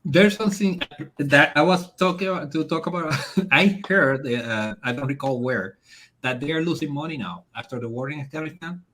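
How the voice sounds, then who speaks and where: A man from the Dominican Republic with a Dominican Spanish accent, speaking as a guest in a casual political discussion in a halting, conversational way. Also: on the high side, a bit bright, a little hiss or hum. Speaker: guest on a talk show.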